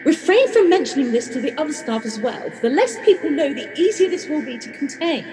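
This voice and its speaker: A woman's voice: high-pitched, very animated, a little fast. An actress playing a haughty, commanding, dismissive woman in charge of a hotel in an audio drama. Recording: echoey reverberant hall, music behind.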